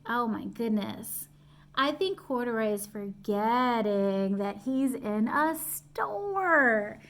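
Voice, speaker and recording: A middle-aged woman reading a picture book aloud to young children at home, expressive, pausing to explain and ask questions. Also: a little high. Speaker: storytime reader. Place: small room at home, indoors.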